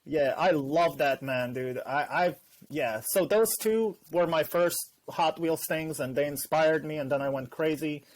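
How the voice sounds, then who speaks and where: A man's voice: a little high, measured pace, few pauses, rough recording. A man guesting on a podcast, talking casually and animatedly, often acting out what other people say. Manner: animated, acts out quoted voices. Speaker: guest YouTuber.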